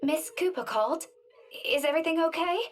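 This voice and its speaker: Man voicing an anxious, high-pitched young woman. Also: fairly quick, fairly bright tone. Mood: anxious, hushed concern. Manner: hesitant, stammering, questioning. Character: anxious young woman searching for her friend. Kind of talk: visual novel character read aloud.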